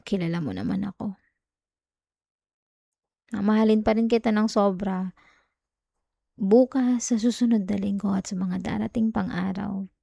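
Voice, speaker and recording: A woman's voice: mid-range voice, fairly even intonation, unhurried, creaky, pauses often, dark tone, dry recording. A woman acting as a jealous, clingy young girlfriend in an intimate ASMR roleplay, with a Filipino accent. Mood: remorseful, tender.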